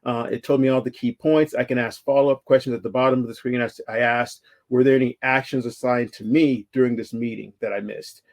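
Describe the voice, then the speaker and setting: A man speaking at a virtual summit, walking through slides in a relaxed, conversational way. His voice sits at a middle pitch with a gravelly, creaky texture. He talks through a call microphone and often stops to restart his sentences. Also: fairly quick, few pauses. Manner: conversational, frequent fillers and restarts. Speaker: conference speaker. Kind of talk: presenting slides in a virtual talk.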